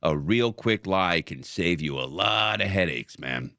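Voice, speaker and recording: A man's voice: sits low, animated, unhurried, pushed and bright, no echo, clean studio sound. A man hosting a casual talk show who tells rambling stories full of fillers and restarts. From Southern California. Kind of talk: casual conversation.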